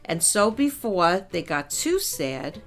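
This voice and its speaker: A woman reading a children's picture book aloud as a narrator, in a measured storytelling style with clear emphasis. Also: on the low side, big pitch swings, soft background music.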